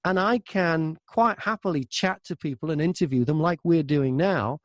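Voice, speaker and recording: A man's voice: a little high, moderate pace, no room sound, studio quality. A man chatting casually as an interview guest, an audiobook narrator who tells stories by acting out both sides of exchanges. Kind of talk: casual anecdotal interview chat.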